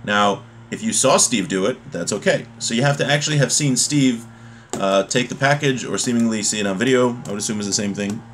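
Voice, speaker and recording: A man's voice: mid-range voice, a little fast, a bit bright. A man in his thirties with an American accent teaching a religious text informally, reading and then explaining, often rephrasing himself. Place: small home room, indoors. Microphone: webcam or laptop mic at desk distance. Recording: clear background room noise.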